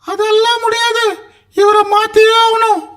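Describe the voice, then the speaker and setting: An extremely high-pitched cartoon parrot, feisty and bossy like a scheming schoolkid. The voice stays squeaky and narrow in its pitch, whining and arguing in an indignant manner. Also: female voice, flat delivery, measured pace, fairly bright tone. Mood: pleading, whiny. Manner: complaining, argumentative.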